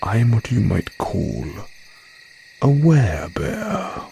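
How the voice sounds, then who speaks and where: Man playing a male werebear, low gravelly voice, hugely swooping intonation, genteel, condescending flirt, audio roleplay. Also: big pitch swings, dark tone, a little hiss or hum, exaggerated voice. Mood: suave, reassuring. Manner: slow, drawn-out, genteel and condescending. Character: smooth, haughty male werebear, courteous yet predatory flirt. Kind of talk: audio roleplay monologue addressed to listener.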